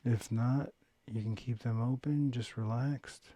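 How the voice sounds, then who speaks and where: A man leading a guided meditation, giving gentle, unhurried instructions with long pauses between phrases. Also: mid-range voice, fairly even intonation, no echo. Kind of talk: guided body-scan meditation, calm instruction.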